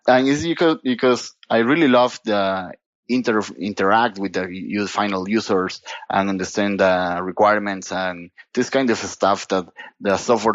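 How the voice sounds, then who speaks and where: A man from Costa Rica with a Costa Rican Spanish accent, talking as a guest in a casual podcast interview, halting and restarting his sentences and leaning on "you know". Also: fairly low pitch, a bit bright, no room sound, dull audio. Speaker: guest data platform expert.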